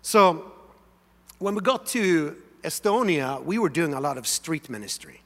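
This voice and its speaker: Bright-voiced Swedish pastor in a hall. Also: male voice, on the high side, unhurried. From Sweden. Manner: animated, conversational, leads congregation in song. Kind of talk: sermon storytelling. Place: large church sanctuary.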